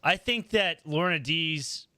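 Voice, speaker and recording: A man's voice: on the high side, takes it slowly, bright, forceful tone. A man in casual, joking chat as a podcast panelist.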